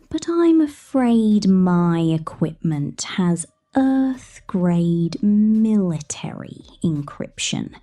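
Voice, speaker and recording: A woman playing a possessive, condescending mechanic captor in an ASMR roleplay, speaking softly and half-whispered with playful menace. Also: mid-range voice, full character voice. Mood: smug, teasing. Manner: soft, half-whispered, slow, teasing.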